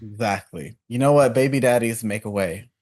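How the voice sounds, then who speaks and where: A man's voice: mid-range voice, moderate pace, a little mellow. A young man co-hosting a podcast, casual and sassy with campy slang. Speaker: podcast co-host. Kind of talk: casual chat recapping a reality show.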